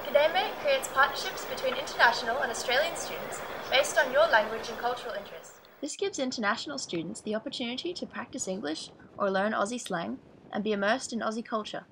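A woman's voice: on the high side, fast, background noise. A young woman student presenting a program in an upbeat, scripted voice-over. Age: young adult. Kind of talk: scripted promotional narration. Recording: voice-over with clear background music.

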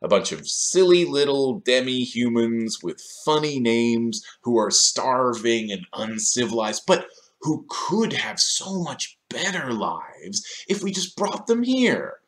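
A man's voice: medium pitch, very animated, slow. A man in his thirties delivering scripted video essay commentary in a sardonic, questioning manner, recorded in a small room.

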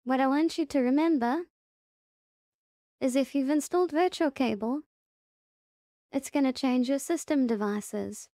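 A woman narrating a casual software tutorial, instructing step by step and repeating her reminders. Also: high voice, dry recording, studio quality. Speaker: tutorial presenter.

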